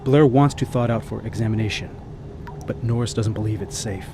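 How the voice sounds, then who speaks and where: A man's voice: on the low side, brisk, warm tone, slight background noise, natural voice. An actor playing a sober male expedition commander, speaking formally and with authority in a radio drama.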